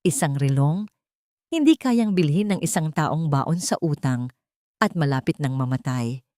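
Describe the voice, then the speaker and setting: Lower-pitched woman narrating drama, dry sound. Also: expressive, unhurried, mellow, no echo. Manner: solemn, literary storytelling. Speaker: audio story narrator.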